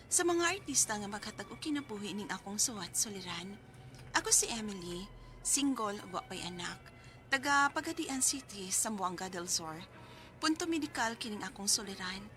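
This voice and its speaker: A woman's voice: medium pitch, animated, normal speed, fairly bright tone, soft background music, close to an everyday voice. An actress playing a devoted single daughter who cares for her sick mother, in a radio drama. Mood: earnest. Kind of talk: radio drama acting with letter narration.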